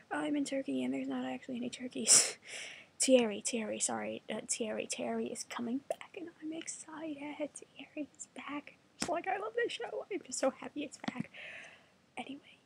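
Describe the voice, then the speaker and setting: Whispering, gushing young woman with slight fry. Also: a little high, a little mellow, a little hiss or hum. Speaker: vlogger. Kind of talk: excited fan recap, whispered. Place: hotel or home room abroad.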